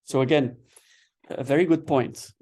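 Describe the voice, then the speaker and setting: A man's voice: medium pitch, normal speed. A man giving an online lecture, explaining patiently and checking in with "right?" as he goes. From Belgium. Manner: relaxed, explanatory, frequent "right?" tags and repeated words. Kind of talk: online webinar lecture answering questions.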